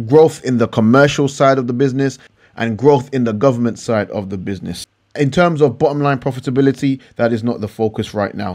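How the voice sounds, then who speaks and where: A man's voice: mid-range voice, darkish tone. A middle-aged man gives informal explanatory commentary as a finance YouTuber, repeating phrases for emphasis. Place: indoor room at a computer.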